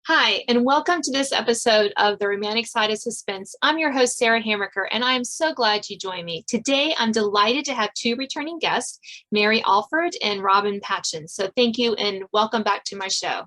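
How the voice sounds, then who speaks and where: Bright-voiced woman hosting a podcast. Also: on the high side, normal speed. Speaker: podcast host. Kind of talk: casual interview chat.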